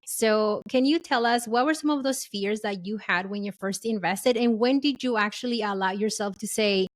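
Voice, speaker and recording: A woman around forty hosting a podcast interview, enthusiastic and encouraging. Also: medium pitch. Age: 40s. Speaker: podcast host.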